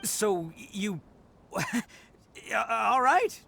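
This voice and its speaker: A man's voice: sits high, very animated, very slow, creaky, noisy background, heavily acted. An actor playing a boisterous, proud male oni gang leader in a video game, who laughs often and speaks earnestly about pride. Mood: cheerful.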